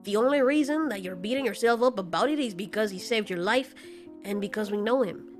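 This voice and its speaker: A laid-back male winged monster, played by a woman for an audio drama. He talks casually and bluntly, with a low-key, matter-of-fact loyalty, pitched under the storyteller's usual voice. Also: animated, brisk, faint music behind, close to an everyday voice. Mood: firm reassurance. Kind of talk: amateur audio drama dialogue.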